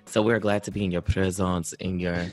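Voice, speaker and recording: A man's voice: sits low, little pitch movement, normal speed, darkish tone, soft background music. A man co-hosting a podcast, chatting casually and colloquially with a playful, prompting manner. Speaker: podcast co-host.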